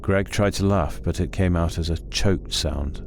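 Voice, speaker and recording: A man narrating a scripted horror story in a measured, suspenseful, dramatic way. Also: low-pitched, gravelly, background noise. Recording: loud music under the voice. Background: music.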